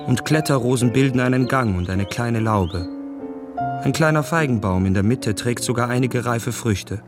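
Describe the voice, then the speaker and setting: A man playing an earnest, shy, enamoured young gentleman in a literary radio play, speaking tenderly and dreamily. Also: mid-range voice, close to an everyday voice.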